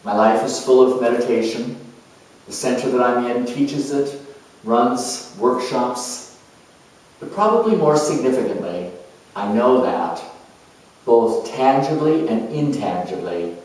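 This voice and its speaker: A man playing an earnest, nurturing parent turned spiritual counselor in a dramatic monologue, speaking in halting, reflective phrases. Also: medium pitch, lively intonation, a little mellow. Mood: serene, devout. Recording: very echoey room, distant microphone.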